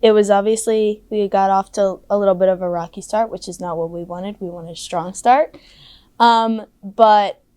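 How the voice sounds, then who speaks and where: A woman's voice: mid-range voice, steady tone, moderate pace, a little mellow, clear, resonant tone, no echo. A woman college lacrosse player giving chatty interview answers full of fillers. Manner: chatty, many likes and fillers.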